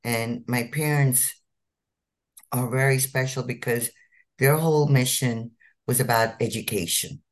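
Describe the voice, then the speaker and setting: A woman's voice: rumbling low, a little slow. Earnest woman physician telling her life story. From India. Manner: earnest, repeats words for emphasis. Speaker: physician guest. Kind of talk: interview storytelling.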